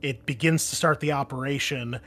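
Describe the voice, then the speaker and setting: A man's voice: medium pitch, fairly even intonation, brisk, a bit bright. A man running a tabletop roleplaying game, narrating scenes and explaining rules to players in a casual, informal way.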